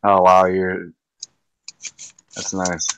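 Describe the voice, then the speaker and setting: A man's voice: sits low, monotone, drawn-out words, a bit bright, slight background noise. A man in his thirties co-hosting a casual livestream chat, laid-back and stammering through restarts. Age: 30s. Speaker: livestream co-host.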